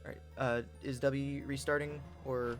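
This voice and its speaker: A game streamer giving casual, jokey, excitable live commentary and reading game text aloud. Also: mid-range voice, measured pace, faint music behind.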